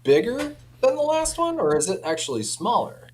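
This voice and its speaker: A man's voice: sits high, sing-song, normal speed, darkish tone, a little hiss or hum. A man speaking as an audio company representative in a casual, informal product chat.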